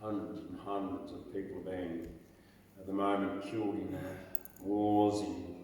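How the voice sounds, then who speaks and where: A man with a croaky voice, hosting an open mic and reciting his own poetry in a dramatic, chanting way with sudden loud rises. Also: sits low, little pitch movement, measured pace, slight background noise. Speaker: open mic host and poet. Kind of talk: performance poetry reading. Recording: echoey hall, mic far off.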